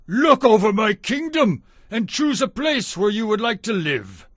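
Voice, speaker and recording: Man acting high-voiced, emphatic ancient king. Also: sing-song, unhurried, a bit bright, no echo, clean studio sound, exaggerated voice. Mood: emphatic, magnanimous. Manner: emphatic, declamatory. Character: indignant ancient king, pleading and accusing. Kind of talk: dramatized audio Bible acting.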